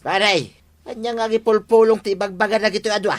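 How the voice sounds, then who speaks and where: A man's voice: high-pitched, fairly even intonation, a little fast, lightly acted. An actor with an Ilocano accent playing an aggrieved, protesting older village man in a radio comedy drama. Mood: indignant. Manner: plaintive, protesting.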